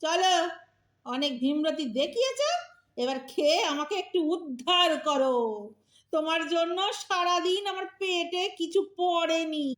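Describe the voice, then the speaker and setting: A woman acting a sharp-tongued elderly wife in an audio drama, sarcastic and nagging but secretly caring. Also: extremely high pitch, a little slow. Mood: scolding, exasperated. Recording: echoey room.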